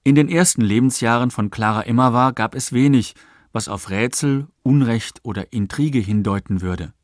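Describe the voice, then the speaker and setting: A man narrates a radio documentary from a script. His voice sits at a middle pitch and he is measured and articulate. The sound is very dry. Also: dry recording.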